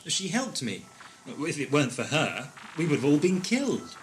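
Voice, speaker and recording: A man playing a kindly, confident time-travelling adventurer in an audio drama, reassuring and gently reproving. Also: on the high side, huge pitch swings, moderate pace, a little hiss or hum, rough recording. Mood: calm, firm. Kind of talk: 1980s amateur audio drama acting. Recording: loud music behind. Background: music.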